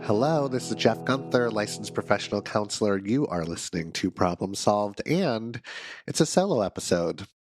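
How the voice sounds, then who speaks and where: A man's voice: lowish voice, expressive, normal speed, faint music behind. Nasal, congested man hosting a podcast. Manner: playful, self-mocking, chatty. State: sick, congested. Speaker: counselor and podcast host. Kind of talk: solo podcast monologue.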